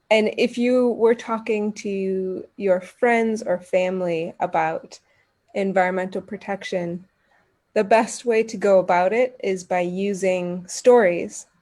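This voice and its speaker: A woman's voice: mid-range voice, darkish tone. A woman speaking as a guest expert, giving earnest explanatory answers in an interview. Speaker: guest expert on environmental communication.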